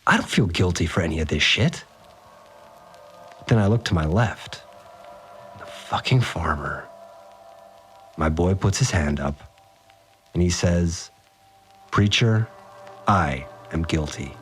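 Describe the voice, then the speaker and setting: A man's voice: sits low, animated, slow, long pauses, a bit bright, exaggerated voice. An actor playing a slangy, rattled fixer who tells his story informally in an audio drama. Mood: uneasy. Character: rattled, slangy male fixer recounting events. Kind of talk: first-person audio drama monologue. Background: music.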